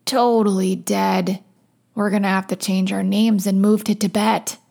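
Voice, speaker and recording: A woman's voice: medium pitch, flat delivery, a little slow, mellow. A woman voicing a flustered, blunt young man who talks in hesitant, bro-ish slang, in a fan fiction audiobook. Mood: panicked. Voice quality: gravelly, creaky.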